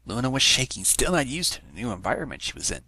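A man's voice: mid-range voice, sing-song, quick pace, bright, forceful tone, husky. A man narrating a fan fiction story, reading aloud from a script.

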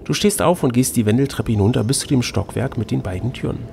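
A man's voice: medium pitch, fast, gravelly, a little mellow. A man acting as game master, reading a fantasy gamebook aloud and bantering casually. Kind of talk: storybook narration mixed with casual banter. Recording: noticeable background noise.

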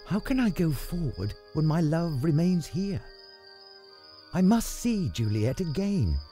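A man acts out an ardent young nobleman in love for a storybook drama. The voice is lifted high and swoops freely, with an earnest, declarative delivery and music underneath. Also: big pitch swings, unhurried, dark tone. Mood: yearning.

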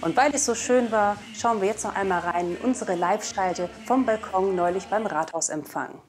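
A woman's voice: mid-range voice, moderate pace, over loud music. Young woman presenting scripted news. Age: young adult. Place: indoor studio. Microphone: lapel mic.

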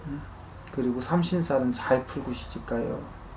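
A man's voice: mid-range voice, normal speed. A man in his thirties giving a fortune-telling consultation in a blunt, admonishing manner, often prompting with short questioning grunts. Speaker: shaman fortune-teller. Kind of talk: spoken consultation, informal advice. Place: small indoor consultation room. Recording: roomy echo, faint background noise.

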